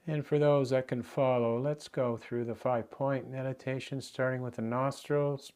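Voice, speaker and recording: A man guiding a meditation as a teacher, giving gentle, unhurried instructions with pauses. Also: medium pitch, fairly even intonation, smooth. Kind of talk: guided meditation.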